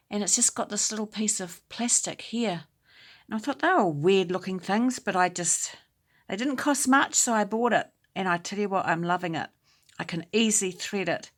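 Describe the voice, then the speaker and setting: A woman with a slightly croaky voice hosting a casual knitting tutorial at home, explaining each step in a chatty, unhurried way. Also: mid-range voice. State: slightly croaky voice from talking a lot. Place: indoors at home.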